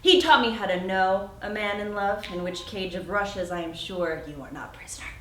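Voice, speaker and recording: A young woman performing a witty, spirited Shakespearean heroine in a theatrical, animated and emphatic monologue. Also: medium pitch, moderate pace, a little hiss or hum, close to an everyday voice. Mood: playful, teasing. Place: friend's warehouse. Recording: echoey warehouse, distant mic.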